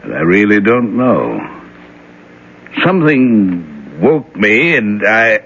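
An actor playing a worried reverend and father in a 1970s radio drama, speaking in a halting, hesitant way. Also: fairly low pitch, big pitch swings, fairly bright tone, a little hiss or hum, heavily acted. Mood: uneasy. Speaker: reverend character. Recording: lo-fi vintage radio broadcast.

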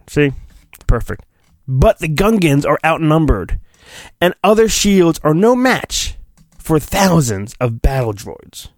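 Male podcast host, fairly high voice, dry recording; mock-dramatic children's book narration with offhand commentary. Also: sing-song, takes it slowly, dry recording. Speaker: podcast host reading a storybook. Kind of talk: dramatic storybook reading with casual asides.

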